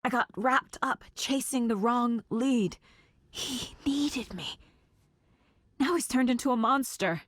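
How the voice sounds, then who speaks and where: An actress playing a determined, level-headed FBI agent in a video game, speaking in a measured, serious and slightly husky voice. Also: female voice, a little high, no echo, clean studio sound. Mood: distressed. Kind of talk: naturalistic dramatic game dialogue and inner monologue.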